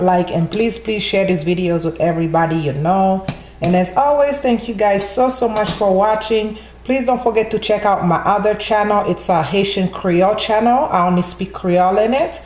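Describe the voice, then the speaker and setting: A woman talks viewers through a recipe in a relaxed, chatty way, calling them guys. Her voice sits in a middle range. The recording carries a roomy echo from a home kitchen, with faint noise behind. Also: mid-range voice. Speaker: cooking channel host. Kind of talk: casual cooking tutorial voice-over.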